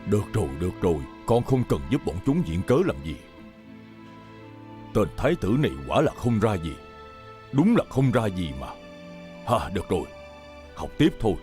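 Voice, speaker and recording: A man dubbing a gruff but indulgent elder tutor in a period drama, grumbling in a paternal way. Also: on the low side, very animated, normal speed, pauses often, dark tone. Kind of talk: dubbed period drama acting. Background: music.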